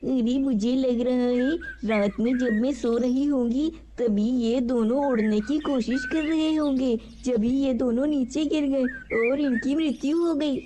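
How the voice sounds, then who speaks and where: A woman's voice: high-pitched, measured pace, hardly any pauses, mellow, a little hiss or hum. A woman voicing a hardworking, trusting mother sparrow in a children's cartoon, speaking plainly and earnestly. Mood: sad, resigned. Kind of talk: children's cartoon story dialogue.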